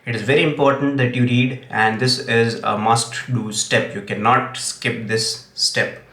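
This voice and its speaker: A man's voice: medium pitch, fairly even intonation, a little slow, fairly bright tone. A young man, an English teacher whose first language is Gujarati, speaks with an Indian English accent and gives earnest tips, often saying "okay". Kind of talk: direct-to-camera tips video. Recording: echoey room.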